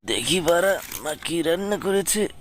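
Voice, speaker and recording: A man's voice: a little high, animated, normal speed, very noisy, natural voice. A man voicing a cheeky, foul-mouthed cartoon prankster who talks in a crude, brash, boastful way. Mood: cocky. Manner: crude, brash, swears constantly. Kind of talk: comedic cartoon dubbing. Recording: loud music over the voice. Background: loud music.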